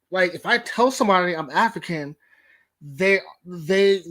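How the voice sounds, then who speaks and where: A first-generation American man of Nigerian descent joins a casual panel talk. He speaks in an animated way and often says "you know what I'm saying". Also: high voice. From United States. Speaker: panel guest.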